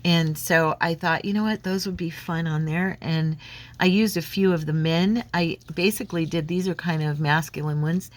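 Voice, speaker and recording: A woman's voice: low voice, steady tone, normal speed. A woman hosting a crafting vlog at her home craft desk, chatting casually with lots of fillers while slightly froggy from a head cold. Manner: rambling, friendly, many fillers and restarts. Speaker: crafting channel host.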